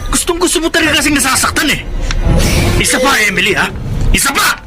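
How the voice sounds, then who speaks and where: A man's voice: very high-pitched, sing-song, fast talker, loud music behind the voice. A man playing a violent, drug-addicted young husband in a Filipino radio drama, aggressive and threatening. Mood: violent, menacing.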